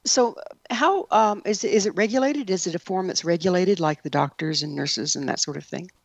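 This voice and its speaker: A woman interviewing someone conversationally, asking her questions in a halting way with restarts. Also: fairly low pitch, dry recording, highs cut off.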